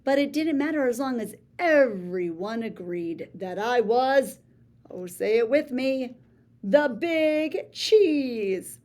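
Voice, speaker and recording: Slow, theatrical woman reading aloud. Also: lively intonation, darkish tone. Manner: theatrical, playful, big pitch swings; boastful first-person storybook voice. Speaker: read-aloud storyteller. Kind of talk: children's picture book read-aloud.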